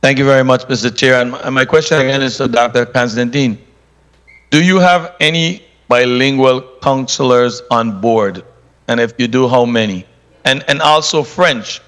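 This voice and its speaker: A man's voice: mid-range voice, moderate pace, fairly bright tone. Brief: male senator, plain questions, long room reverb. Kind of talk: committee hearing questioning.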